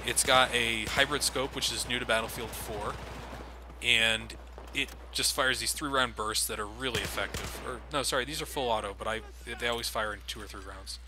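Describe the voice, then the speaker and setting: A man's voice: medium pitch, moderate pace. A man giving casual, sardonic commentary over a video game, swearing offhand and laughing often. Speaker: let's play commentator. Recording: noisy home recording.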